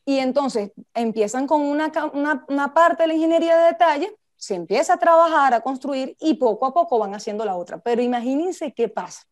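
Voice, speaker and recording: A woman's voice: high voice, expressive, a little fast, hardly any pauses. A woman with a Venezuelan accent giving an informal lecture, talking conversationally and putting questions to her listeners. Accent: Venezuelan Spanish. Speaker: project management instructor and speaker.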